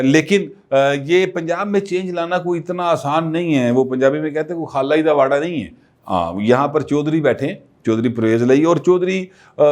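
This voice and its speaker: Guy talking politics to camera, casual, hesitant fillers, average pitch. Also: darkish tone. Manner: conversational, many filler pauses. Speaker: political commentator. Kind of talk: solo political commentary.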